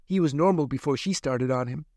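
A man's voice: medium pitch, a little fast, a little mellow, dry recording, studio quality, close to an everyday voice. A man voicing a gaunt caged prisoner who speaks bluntly and scornfully, in a storybook reading.

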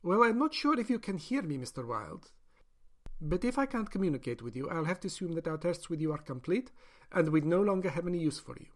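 A man's voice: fairly high pitch, animated, fairly quick, voice pushed up, natural voice. A man voicing a polite but ruthless woman doctor who experiments on captive people, in a prim, clipped, coolly courteous manner. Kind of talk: audiobook character voice.

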